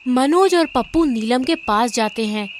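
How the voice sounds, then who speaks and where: A woman's voice: high voice, lively intonation, measured pace, slight background noise. A woman narrating a scripted horror cartoon story.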